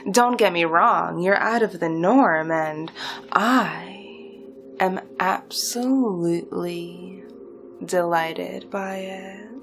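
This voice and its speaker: Woman acting a very slow, seductive supervillainess. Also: lowish voice, fairly bright tone, exaggerated voice. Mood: coaxing, delighted. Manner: deliberate, drawn-out phrasing, often dropping to whispers. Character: seductive, hypnotic female supervillain recruiter, smugly delighted. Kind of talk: slow theatrical hypnosis roleplay monologue. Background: music.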